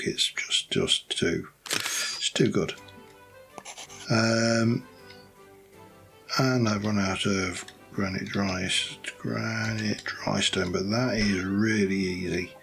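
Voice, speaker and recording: Hoarse, lowish male let's-play host; loose, thinking-aloud commentary with a gravelly edge. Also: steady tone, slow, a bit bright, soft background music. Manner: rambling, thinking aloud while building. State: hoarse, voice going croaky. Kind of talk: casual gameplay commentary.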